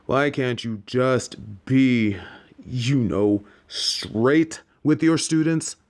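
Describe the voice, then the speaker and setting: A man playing a composed, wry professor at a wizard school in an audio drama, dry, teasing and deliberate. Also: mid-range voice. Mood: wry, probing. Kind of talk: scripted audio drama acting.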